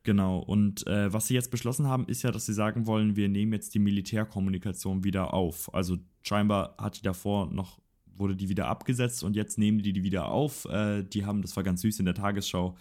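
A man's voice: fairly low pitch, steady tone, brisk, hardly any pauses, a little mellow. A young man co-hosting a casual political chat podcast, rambling and correcting himself as he goes. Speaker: podcast co-host.

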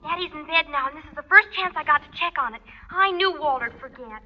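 A woman's voice: sits high, expressive, fast. An American actress playing an earnest, eager teenage schoolgirl in a 1940s radio sitcom, speaking in a girlish, urgent way. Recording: dull old-time radio recording.

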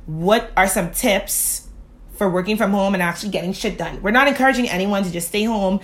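A woman's voice: medium pitch, fairly quick, fairly bright tone. A woman co-hosting a casual chat, blunt and joking, with a sassy manner. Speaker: podcast co-host.

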